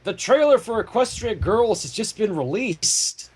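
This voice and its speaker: A man with a husky voice hosting a livestream, narrating a fan story aloud with casual asides. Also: sits high, big pitch swings, a little slow. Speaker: fan fiction reader, livestream host.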